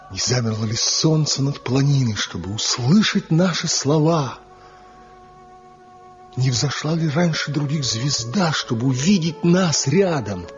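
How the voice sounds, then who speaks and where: An actor playing Zhivko, a passionate rejected lover and master carver, in slow, brooding, impassioned declamation in an old radio drama. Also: male voice, medium pitch. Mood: rapturous. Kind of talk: 1970s radio drama acting. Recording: dull lo-fi old radio recording with music.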